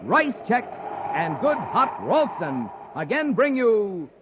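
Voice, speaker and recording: High-pitched male 1950s radio announcer. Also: very animated, slow pace. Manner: emphatic, dramatic salesmanship. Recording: noisy, muffled 1950s radio recording.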